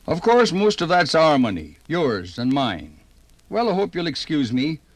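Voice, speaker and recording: Higher-voiced chatty male radio narrator. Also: very animated, measured pace, no echo. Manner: chatty, direct address to listeners. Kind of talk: folksy 1950s radio narration. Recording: 1950s vinyl radio recording.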